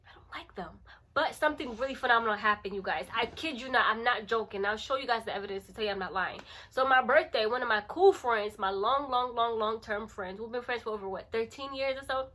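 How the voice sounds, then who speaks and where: Bright, high-voiced young woman vlogger. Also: fairly quick. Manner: animated, playful, emphatic, repeats phrases for effect. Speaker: lifestyle vlogger and channel host. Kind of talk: casual chatty vlog to camera.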